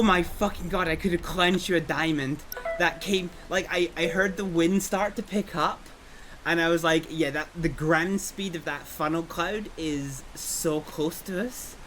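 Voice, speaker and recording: A man streaming a game, hoarse and gravelly from a cold, exclaiming and swearing excitedly as he plays. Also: on the high side, takes it slowly. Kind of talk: live gameplay commentary. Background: storm chase game sounds, wind and vehicle.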